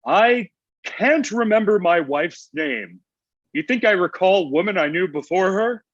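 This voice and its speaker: A man's voice: sits high, lively intonation, unhurried, muffled recording. A man playing a grumpy, ancient Santa Claus in a comedy table read, with a blunt, testy, conversational manner. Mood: frank.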